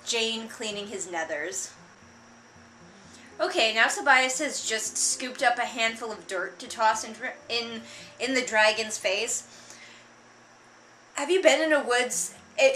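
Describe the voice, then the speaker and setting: Bright, high-voiced sarcastic woman commentator. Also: a little hiss or hum, low-quality recording. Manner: exasperated, mocking, emphatic, sprinkled with swearing. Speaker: book reviewer and vlogger. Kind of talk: casual sarcastic read-along commentary.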